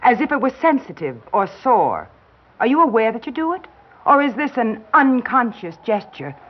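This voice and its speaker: An actress playing a weary, blunt newspaper reporter in a 1960s American radio drama, speaking intensely with dramatic pauses. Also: female voice, on the high side, big pitch swings. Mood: probing, world-weary. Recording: dull vintage radio recording.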